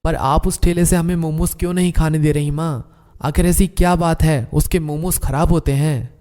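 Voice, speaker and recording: Cartoon story role: greedy young hustler son, played by a man, fairly high voice, smooth-talking and boastful. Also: fairly even intonation, normal speed, dark tone, lightly acted. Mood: casual, curious. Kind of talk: Hindi animated moral story dialogue.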